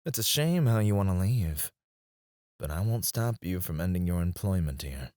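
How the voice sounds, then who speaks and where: A man playing a possessive, outwardly gracious CEO in an intimate scripted ASMR roleplay, speaking in a measured, suave manner. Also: low voice, big pitch swings, dry recording, clean studio sound. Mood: suave, coaxing. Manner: measured, suave, soft chuckles and sighs. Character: smooth, possessive male CEO, outwardly gracious, quietly controlling.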